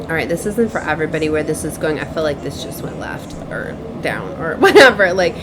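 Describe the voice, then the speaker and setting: A woman with a fairly low voice delivers an off-the-cuff spiritual message. She circles back and repeats herself haltingly, with an earnest, cautioning manner. Clear ambient noise runs behind her voice. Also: big pitch swings. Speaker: online tarot reader. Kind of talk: improvised spiritual message to viewers.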